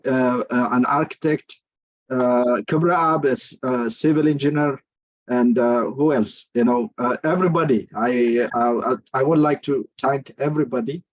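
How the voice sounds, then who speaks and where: A man moderating an online forum over a video call, courteous and halting with many filler pauses. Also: mid-range voice, no room sound, muffled recording.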